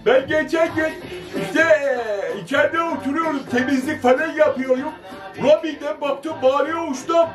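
A man playing a mischievous young male comic servant who chats playfully to children and keeps repeating himself. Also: normal speed. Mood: chatty. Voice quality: chatty, repeats himself, high squeaky put-on voice. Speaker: children's show entertainer. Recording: echoey, distant, music behind.

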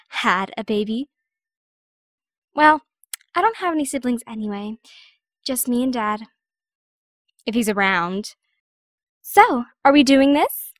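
A woman's voice: a little high, animated, takes it slowly, pauses often, no echo, clean studio sound. A woman playing a sarcastic, impatient young girl in an audio drama, sassy and exasperated.

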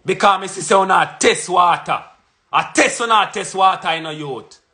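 A man's voice: high-pitched, normal speed, bright, forceful tone. A man in his thirties from Jamaica with a Jamaican accent, delivering an emphatic, confrontational monologue to his phone camera at home. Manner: emphatic and confrontational, punches out lists and repeats phrases. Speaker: vlogger. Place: small indoor room at home. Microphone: phone camera at arm's length.

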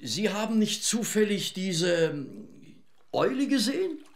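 An awkward, snooping headmaster in a children's audio drama. He speaks slowly and hesitantly, his pitch jumping about, in an echoey space. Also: very animated, exaggerated voice. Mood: furtive, awkward. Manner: hesitant, hemming. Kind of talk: children's radio play acting.

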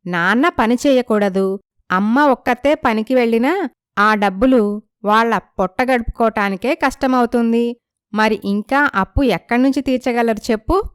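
A woman playing a proud, assertive young woman from a poor family in an animated moral story. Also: fairly high pitch, normal speed, clear, resonant tone, no room sound, clean studio sound, natural voice. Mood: resigned.

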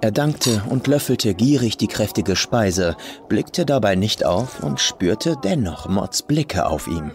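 A man reading a scripted horror story with atmospheric, deliberate delivery. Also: lowish voice, big pitch swings. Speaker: professional voice actor, audiobook reader. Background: atmospheric music.

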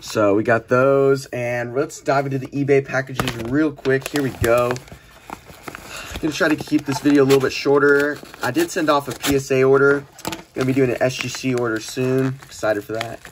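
Young male unboxing host, mid-pitched, informal and chatty, slight background noise with crinkling packaging. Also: steady tone, moderate pace. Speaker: host of a trading card unboxing channel. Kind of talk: casual unboxing commentary. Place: small indoor room at a desk. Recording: noisy room, crinkling packaging handling sounds. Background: clicks, crumpling and crinkling of packages.

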